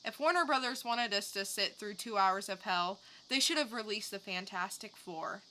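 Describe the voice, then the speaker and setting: A woman co-hosts a light entertainment podcast in a bright, clear-cut voice. She delivers her lines with dry humour, over a faintly noisy background. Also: medium pitch, moderate pace. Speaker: podcast co-host. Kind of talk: scripted joke news items and casual chat.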